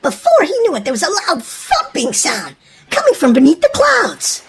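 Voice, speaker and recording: Female children's story narrator, very high pitch, hugely expressive and dramatic, faint noise behind. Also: normal speed.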